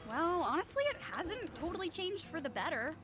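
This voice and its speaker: A perky, animated robot driver. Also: female voice, very high voice, fairly quick, over music. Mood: upbeat, cautious. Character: perky, anxious female robot driver, drily sarcastic. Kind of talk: video game cutscene voice acting.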